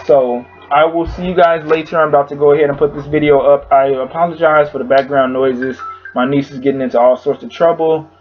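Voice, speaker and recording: A man with a hoarse voice casually recapping a TV episode at home, rambling with lots of fillers. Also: a little high, fairly even intonation, a little fast, lo-fi sound, soft background music. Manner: rambling, filler-heavy storytelling. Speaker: YouTube reviewer.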